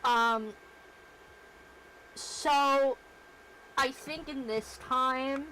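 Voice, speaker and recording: A woman's voice: high voice, drawn-out words, pushed and bright, clear, resonant tone, rough recording. A woman streamer chatting casually while she works, rambling and self-deprecating. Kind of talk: casual livestream chat while working.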